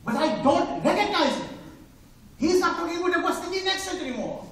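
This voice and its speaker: A man's voice: extremely high pitch, big pitch swings, brisk, a bit bright, pitched above the performer's own voice, full character voice. A man on a live stage voicing an immigrant dishwasher father, telling the story in broken English with chuckles. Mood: storytelling. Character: immigrant male dishwasher, older father, wry and wide-eyed. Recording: echoey theater, heard from far off.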